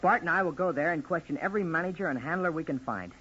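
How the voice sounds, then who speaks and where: An actor playing a keen, confident young amateur sleuth, earnest and inquisitive, in 1940s radio drama. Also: male voice, on the high side, quick pace, sharp tone. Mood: determined. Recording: dull, faintly noisy old radio recording.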